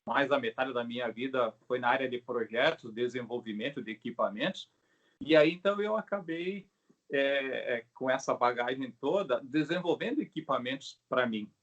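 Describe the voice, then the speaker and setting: A middle-aged man with a Brazilian Portuguese accent explains his craft in a casual, halting way, often checking in with short tag questions. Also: mid-range voice, fairly bright tone, highs cut off. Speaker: craftsman, bow maker being interviewed. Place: video call.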